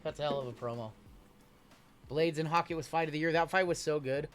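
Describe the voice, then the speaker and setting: A man's voice: on the high side, fairly quick, a bit bright. A man hosting a live card break, chatting casually and reading the chat aloud with a joking manner. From Iowa. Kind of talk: casual live-stream chat while opening cards.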